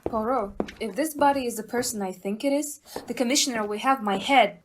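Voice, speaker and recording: A woman's voice: a little high, fairly quick, a little hiss or hum, natural voice. A woman acting an agitated, emphatic female police inspector in an amateur audio play.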